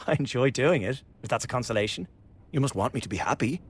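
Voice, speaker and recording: A spiteful young revolutionary in a radio play. His medium-pitched voice swoops theatrically as he taunts and sneers. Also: animated, fairly quick, breathy edge. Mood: gleefully cruel. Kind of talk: radio drama acting.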